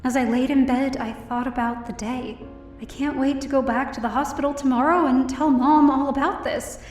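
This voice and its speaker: A high, earnest female voice from an actress as a hopeful heroine down on her luck. She tells her story expressively, as in an audio drama. The sound is echoey and set back from the mic, with some music behind. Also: steady tone, normal speed, a little mellow, natural voice. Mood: reflective, hopeful. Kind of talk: first-person audio drama narration and dialogue. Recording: roomy echo, distant mic, music behind.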